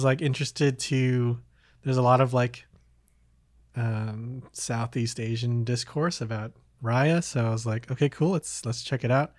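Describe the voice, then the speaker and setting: A man's voice: medium pitch, a little mellow, no room sound, studio quality. A man chatting casually as a podcast host, hesitant and rambling as he thinks aloud. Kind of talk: casual chat.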